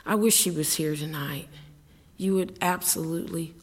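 A woman's voice: deep voice, moderate pace, in a large hall. A middle-aged woman, a singer-songwriter, telling a rambling, chatty story between songs at a live show, laughing as she goes. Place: live concert venue with audience.

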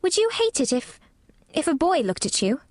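A woman's voice: high voice, expressive, normal speed, dry recording, clean studio sound, voice pushed up. A woman voicing a frank, inquisitive and eager young girl in a storybook, speaking in an emphatic, wondering way. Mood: blunt, curious. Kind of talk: audiobook character dialogue.

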